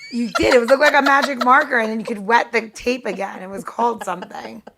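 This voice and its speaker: A woman with a fairly high voice, co-hosting in loose, casual banter. She is playful and emphatic, stressing her points and drifting happily into side topics. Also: fairly even intonation, fast talker, vocal fry, noisy background. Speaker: podcast co-host.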